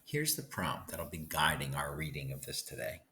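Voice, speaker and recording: A man's voice: very deep voice, very animated, normal speed, sharp tone, a little hiss or hum. A man speaking as a teacher in a recorded read-aloud lesson, addressing students directly and instructively.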